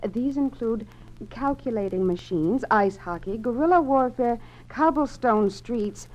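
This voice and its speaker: A woman's voice: a little high, lively intonation, measured pace, darkish tone. A woman acting in an old radio drama, playing a dutiful, wry museum clerk. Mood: wry, reading aloud. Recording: dull, hissy vintage radio recording.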